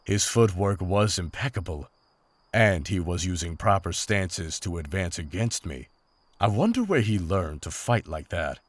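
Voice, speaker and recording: A man's voice: deep voice, very animated, no echo, exaggerated voice. A man playing a seasoned, honorable monster hunter in a fantasy drama, with formal, restrained, serious speech. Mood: measured. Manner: formal, measured, serious wording. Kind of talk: fantasy audio drama acting.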